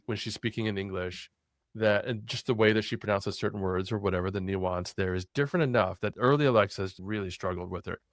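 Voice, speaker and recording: A man hosting a podcast speaks in a friendly, easygoing way, agreeing with his guest before asking his next question. His voice is fairly low and the recording is dry and close, with no room sound. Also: no room sound. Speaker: podcast host. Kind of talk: casual interview chat.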